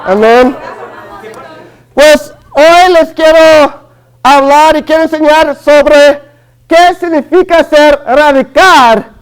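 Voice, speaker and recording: A man's voice: extremely high pitch, slow pace, poor audio. A man in his early thirties from Los Angeles with a Chicano accent, preaching a sermon in a hesitant way, with many fillers and restarts. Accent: Chicano Spanish. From Los Angeles, family from Guatemala. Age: early 30s. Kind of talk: Spanish-language sermon.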